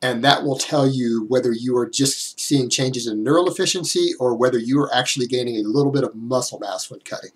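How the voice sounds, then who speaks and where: A man in his thirties, a little hoarse from a cold, explaining fitness science directly to a webcam in a small room at home. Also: mid-range voice, fairly quick, few pauses. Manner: direct, explanatory, talks with hands. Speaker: fitness YouTuber. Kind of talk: explanatory vlog to camera.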